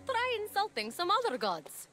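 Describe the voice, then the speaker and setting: An actress playing an earnest, encouraging young warrior heroine in a video game, speaking sincerely. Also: female voice, very high voice, huge pitch swings, moderate pace, bright, forceful tone, soft background music, heavily acted. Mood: practical. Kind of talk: video game cutscene acting.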